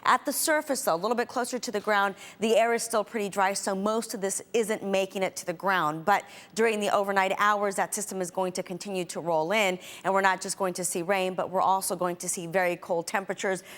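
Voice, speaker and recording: A woman's voice: medium pitch, fairly even intonation, fairly quick, few pauses, fairly bright tone. A woman presenting a television weather forecast, talking through maps and conditions.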